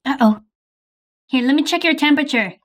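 A woman's voice: high-pitched, a little fast, fairly bright tone. A woman voicing a caring mother in a game roleplay, fussing over her kids. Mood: worried. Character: caring mom of the household.

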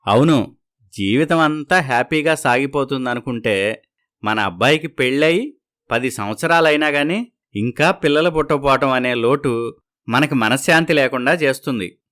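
A man voicing a sad, gentle older father-in-law in an audio family story. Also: medium pitch, moderate pace, close to an everyday voice. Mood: sorrowful.

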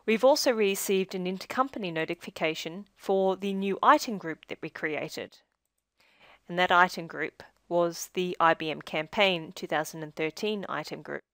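A woman reading a scripted software tutorial as demo narrator, in a measured, instructional manner with phrase endings that trail down. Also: fairly low pitch, no echo, studio quality.

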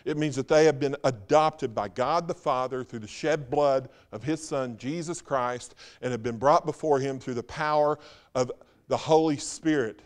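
A man preaching a Sunday sermon as pastor, firing rhetorical questions, then dropping to a hushed, slow voice for emphasis. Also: on the high side. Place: church sanctuary.

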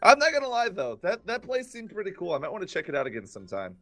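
A man's voice: high-pitched, wildly swooping pitch, fast talker, a bit bright, dry recording, full character voice. A man playing an earnest, protective, nerdy young inventor in a gaming roleplay. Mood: excited, reminiscing. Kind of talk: improvised gaming roleplay acting.

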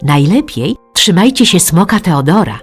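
A woman's voice: lowish voice, sing-song, normal speed, breathy edge, soft background music. A woman playing a caring, slightly scatterbrained mother fussing over children in an audio play.

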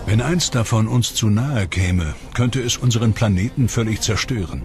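Deep-voiced male documentary narrator. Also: normal speed. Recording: voice-over with background music.

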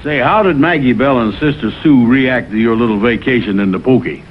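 A man voicing a plain-spoken, slow-witted bear sidekick in a 1960s cartoon sitcom. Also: medium pitch, a little hiss or hum, natural voice. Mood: mildly glum. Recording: dull vintage TV audio with music.

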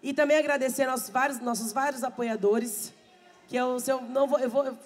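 Hoarse woman chatting from a bar stage. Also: high-pitched, fairly even intonation, fairly quick. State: hoarse, losing her voice. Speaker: samba singer and show host. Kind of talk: chatty stage talk between songs at a live show. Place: bar stage with a live audience, indoors. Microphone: handheld mic. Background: live samba band.

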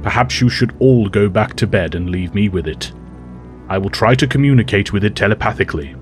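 A man playing a calm formal telepath professor. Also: fairly low pitch, soft background music, close to an everyday voice. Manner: formal, measured.

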